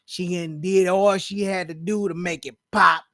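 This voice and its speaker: A man's voice: high-pitched, fairly even intonation, moderate pace, pushed and bright, no room sound, clean studio sound. A man hosting a reaction video, talking in a loose, joking, slangy way. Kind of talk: casual reaction commentary.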